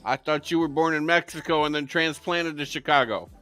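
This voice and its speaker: A man's voice: on the high side, fairly quick, bright, forceful tone, soft background music. A man in his fifties co-hosting a casual chat, blunt and crude, with lots of swearing. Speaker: co-host.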